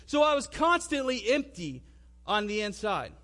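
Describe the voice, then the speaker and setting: A man's voice: high voice, big pitch swings, moderate pace, sharp tone. A man in his twenties telling his personal testimony in a colloquial, conversational way, acting out remembered conversations as he goes. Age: 20s. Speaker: speaker giving a personal testimony. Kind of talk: personal testimony storytelling to an audience.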